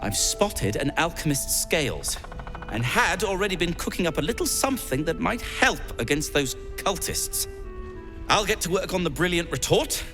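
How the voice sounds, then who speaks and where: A man playing a fussy, excitable, dithering inventor in a video game, with florid, old-fashioned wording. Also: on the high side, moderate pace, music in the background. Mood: eager, proud. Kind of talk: video game character acting.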